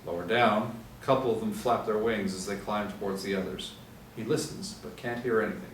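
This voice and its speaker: Low-voiced man narrating, echoey room. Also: fairly quick. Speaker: narrator of stage directions. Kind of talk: scripted screenplay narration. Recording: echoey room, distant mic, faint noise.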